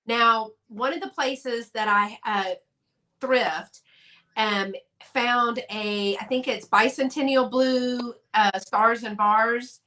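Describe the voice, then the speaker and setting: A woman, a guest on a casual live chat, talking with rambling enthusiasm in quick bursts over a video call. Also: a little high, fairly even intonation, sharp tone.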